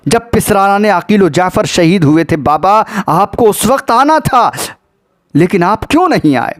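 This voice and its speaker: A man's voice: on the high side, animated, close to an everyday voice. A man voicing a grief-stricken sister in a religious lament, pleading and reproaching with anguished repetition. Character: grief-stricken pleading sister guarding her slain brother.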